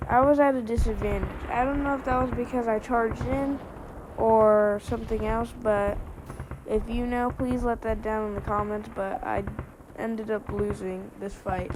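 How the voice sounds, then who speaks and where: Slightly hoarse woman rambling over her gameplay, lots of ums and likes, flat delivery. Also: fairly high pitch, background noise. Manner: rambling, many fillers and restarts. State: slightly hoarse from a winter cold. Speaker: gameplay video creator.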